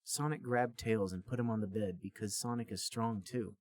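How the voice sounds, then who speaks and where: A man's voice: fairly low pitch, fairly even intonation, normal speed, a little mellow. A man co-hosting a podcast, reading a story aloud in a mock-serious, dramatic comic style. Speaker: podcast co-host and reader.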